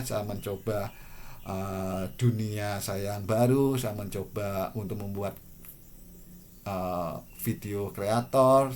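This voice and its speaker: A man's voice: fairly low pitch, darkish tone, slight background noise. Earnest man in his forties reflecting. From Indonesia. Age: 40s. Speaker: vlogger. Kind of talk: personal reflective monologue.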